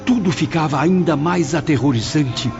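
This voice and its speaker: A man's voice: fairly high pitch, normal speed, vocal fry. Solemn Brazilian male narrator over music. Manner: solemn, theatrical. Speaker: audiobook narrator. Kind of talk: dramatic scripted narration of a spiritist novel. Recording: dull lo-fi audio with music behind.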